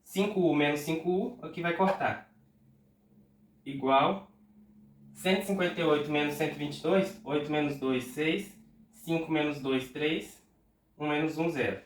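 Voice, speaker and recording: A man's voice: fairly high pitch, roomy sound, distant mic. Nasal Brazilian man with a cold. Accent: Brazilian Portuguese. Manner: patient, talks through arithmetic aloud slowly. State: congested from a cold. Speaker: math tutor. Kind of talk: step-by-step exam problem walkthrough.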